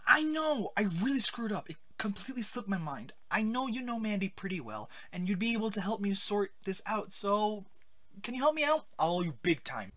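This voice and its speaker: A flustered young college guy from a cartoon, voiced by a woman. The voice is bright and tense and swings widely in pitch. He stammers and frets like a worried boyfriend. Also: sing-song, fairly quick, dry recording. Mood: embarrassed, pleading. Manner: stammering, fretful. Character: anxious, flustered college-age male monster boyfriend. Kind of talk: animated web series voice acting.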